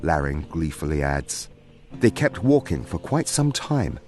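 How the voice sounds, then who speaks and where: A man's voice: rumbling low, big pitch swings, a little slow, darkish tone, faint music behind. A man narrating a scripted fantasy story in a theatrical storybook manner.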